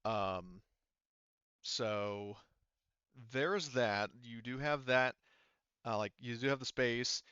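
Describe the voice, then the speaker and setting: A man running a tabletop roleplaying game, explaining casually and thinking out loud as he goes, often repeating himself. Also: mid-range voice, slow pace, pauses often, smooth, no echo, muffled recording. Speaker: game master.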